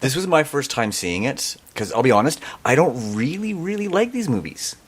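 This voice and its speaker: A man's voice: mid-range voice, big pitch swings, normal speed, breathy edge. A man co-hosting a podcast chat, stumbling and repeating words as he talks. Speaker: podcast co-host.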